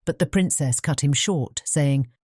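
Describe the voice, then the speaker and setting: A woman reads storybook narration in a notably low register. Her tone is mellow and rounded, suited to a scripted fairy-tale audiobook. The recording is close, with no audible room. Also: a little slow, dry recording.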